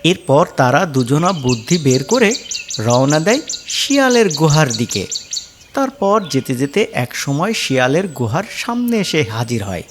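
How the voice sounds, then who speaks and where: A narrator reading a scripted children's cartoon story over loud music. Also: mid-range voice, big pitch swings, unhurried, slight background noise.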